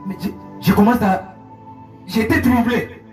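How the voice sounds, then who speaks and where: A male pastor preaching in French with an interpreter, telling a story with emotion, moving between hushed and raised passages. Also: sits high, steady tone, unhurried. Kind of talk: sermon with interpreter. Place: church hall. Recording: echoey church hall, far from mic, music behind.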